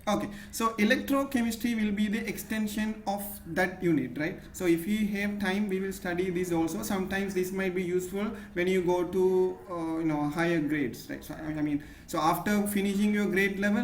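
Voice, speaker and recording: A man's voice: high-pitched, moderate pace, few pauses. A man with a Sri Lankan accent tutoring chemistry one-on-one, patient and often checking in with "right?". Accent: Sri Lankan English. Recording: echoey room, faint noise.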